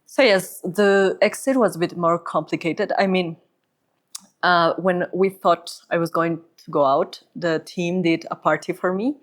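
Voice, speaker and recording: A woman's voice: on the low side, steady tone, unhurried. A woman from Ecuador with an Ecuadorian Spanish accent, telling personal stories as an interview guest. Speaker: podcast guest, humanitarian psychologist.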